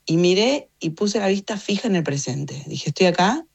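Low-pitched Argentine woman in her fifties. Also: expressive, normal speed, gravelly, slightly rough, dull audio. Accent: Argentine Rioplatense Spanish. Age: 50s. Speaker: interview guest, journalist and communications consultant. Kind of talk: reflective conversational interview answers.

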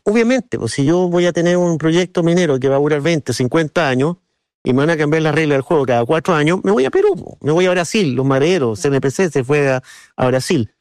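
Chilean guy being interviewed, voice a bit high, earnest and colloquial, tells little stories, really dry sound. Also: a little fast, a little mellow, no echo. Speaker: interview guest, businessman and board member of a business council. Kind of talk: conversational interview answers with anecdotes.

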